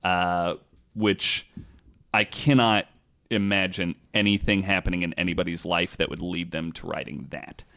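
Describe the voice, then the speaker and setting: A man's voice: sits low, fairly even intonation, moderate pace, gravelly, fairly bright tone. A man hosting a podcast, telling stories in a casual, informal way with loose, sweary phrasing. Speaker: podcast host. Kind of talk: casual storytelling podcast talk.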